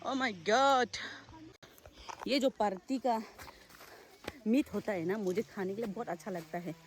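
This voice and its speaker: A young woman vlogging casually outdoors in the countryside, chatty and excitable, talking into a handheld phone. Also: medium pitch, a little slow. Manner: chatty, excitable, switches between loud exclamations and quiet asides. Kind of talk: casual vlog narration while walking outdoors. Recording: faint outdoor background noise.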